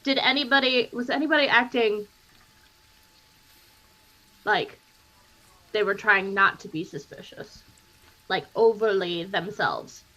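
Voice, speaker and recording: A woman's voice: medium pitch, a little slow, long pauses, pushed and bright, poor audio. A woman player at a tabletop roleplaying game, talking casually and explaining her thoughts to the group. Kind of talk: casual out-of-character table chat.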